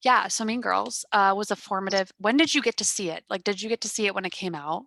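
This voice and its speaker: A woman in her twenties hosting a podcast on a headset, chatting casually in a calm, fairly monotone voice with lots of fillers and deadpan humor. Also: medium pitch, fast talker, creaky. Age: 20s. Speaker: podcast host. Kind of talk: casual chat. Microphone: gaming headset.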